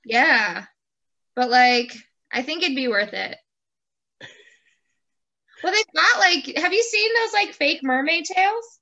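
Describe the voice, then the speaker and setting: A woman with a fairly high, bright voice hosts a casual video chat. Her pitch moves around in a lively way, and she talks playfully, joking and saying "like" often. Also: slow pace, pauses often, muffled recording. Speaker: host, interviewer. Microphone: video call.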